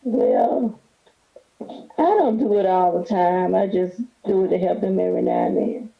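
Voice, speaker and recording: A woman in her fifties calling in to a talk show, hesitant and soft-spoken, often asking to have questions repeated. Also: fairly low pitch, very animated, a little slow, vocal fry. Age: 50s. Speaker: caller. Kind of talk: phone call to a talk show. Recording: dull phone line. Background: children.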